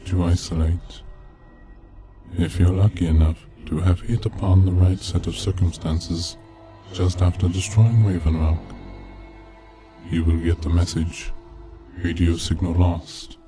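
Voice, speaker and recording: A man reading a scripted narration in a measured, deliberate manner. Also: low voice. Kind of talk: scripted narration of a video game mystery. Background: background music.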